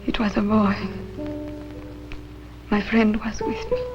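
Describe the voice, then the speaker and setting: Plaintive wife in a fifties drama. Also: female voice, mid-range voice, sing-song, takes it slowly. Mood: weary, wistful. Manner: plaintive, earnest. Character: weary, wistful married woman denying then confessing a secret. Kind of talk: 1950s television drama acting. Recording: dull 1950s soundtrack, loud music behind.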